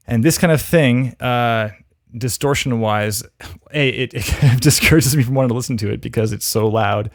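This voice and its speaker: Gravelly man giving frank critique. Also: mid-range voice, no room sound, clean studio sound. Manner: thinking aloud, relaxed and frank. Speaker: host reviewing demo reels. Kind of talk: casual critique commentary.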